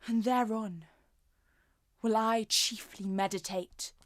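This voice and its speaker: A woman's voice: sits high, expressive, slow, pushed and bright, no room sound, pitched above the performer's own voice, full character voice. A woman performing an anguished, wronged lover in a classical stage tragedy monologue, impassioned and declamatory. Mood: grim resolve.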